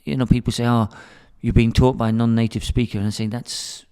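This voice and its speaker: A man's voice: medium pitch, flat delivery, brisk, warm tone, smooth. A middle-aged British man speaking casually as a podcast guest, rambling and often repeating words as he restarts sentences. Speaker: podcast guest, school academic director. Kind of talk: casual interview talk. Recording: hall reverb.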